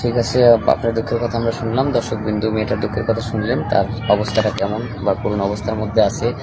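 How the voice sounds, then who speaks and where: Male interviewer, Bangladeshi accent, creaky voice, addressing guest and viewers, noisy outdoor recording with traffic. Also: on the low side, flat delivery, fast. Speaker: interviewer and host. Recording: outdoor street noise with traffic.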